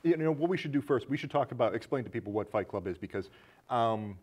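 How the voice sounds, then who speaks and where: A man's voice: mid-range voice, lively intonation, fast, darkish tone, slightly rough. A man in his thirties with an American accent, hosting a casual film discussion in a studio. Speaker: talk show host. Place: small indoor studio set with curtains.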